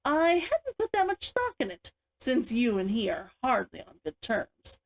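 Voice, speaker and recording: A woman's voice: fairly high pitch, wildly swooping pitch, a little slow, exaggerated voice. A woman reading the part of a stern, domineering older sister in a storybook, cold and clipped. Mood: accusing.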